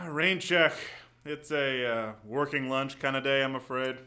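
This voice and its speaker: An actor playing an earnest, guarded newspaper reporter in a radio drama, with a hesitant, confiding manner. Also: male voice, medium pitch, takes it slowly, sharp tone. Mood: wistful. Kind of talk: 1940s-style radio drama acting.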